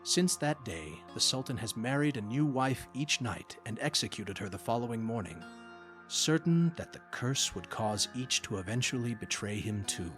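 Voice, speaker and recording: A man's voice: mid-range voice, expressive, normal speed, a bit bright. A man narrating a radio drama in a scripted, dramatic storytelling style. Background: music under the voice.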